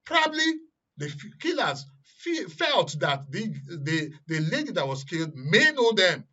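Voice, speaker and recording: A man with a Nigerian accent hosting a current affairs discussion in a studio, speaking haltingly as he searches for words. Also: high-pitched, big pitch swings, sharp tone. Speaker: studio host. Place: radio and TV studio. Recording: dull, lo-fi broadcast sound.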